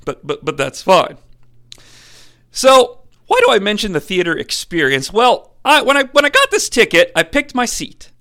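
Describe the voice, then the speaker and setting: A young man hosting a podcast, giving a sarcastic, animated comedic film review with some swearing. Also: sits high, moderate pace. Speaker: podcast host.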